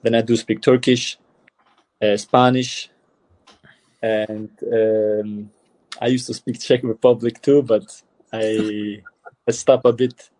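Hesitant Albanian-accented man, low flat voice. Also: slow pace, pauses often, poor audio. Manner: hesitant, many fillers and restarts. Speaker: footballer guest. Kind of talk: casual interview answers.

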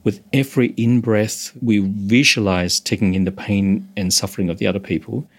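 Low-voiced meditation teacher from Hong Kong. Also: male voice, steady tone, normal speed. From Hong Kong, moved to Australia as a teenager. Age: 50s or older. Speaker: meditation instructor, interview guest. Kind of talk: explanatory interview talk.

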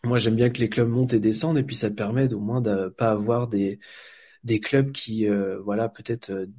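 A man's voice: fairly low pitch, steady tone, normal speed, warm tone. A man from France, a volunteer football blogger, answering interview questions in a hesitant way, with many fillers and restarts.

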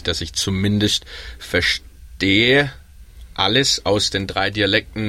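A young man with a Baden German accent, chatting casually on a panel with frequent ums. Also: sits low. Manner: relaxed, chatty, many ums. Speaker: guest, pub worker in Melbourne.

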